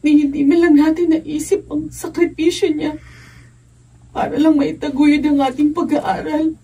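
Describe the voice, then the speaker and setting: Remorseful young daughter, very high soft mellow voice, slow, meek, emotional radio drama, distant mic. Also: female voice, level tone. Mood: wistful regret. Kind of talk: Filipino radio drama acting.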